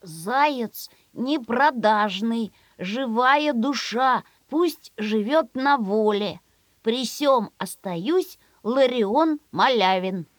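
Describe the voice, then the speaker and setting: An actress playing a young village boy in an audio play, speaking haltingly, word by word. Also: a little high, lively intonation, dry recording. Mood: careful, laboured. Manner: slow, halting word-by-word delivery. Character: young village boy slowly writing out a dictated letter.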